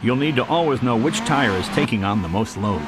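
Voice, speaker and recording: Male tutorial narrator for a racing game: animated intonation, instructive and precise, over very loud engine noise. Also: medium pitch, fairly quick. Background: racing game car sounds.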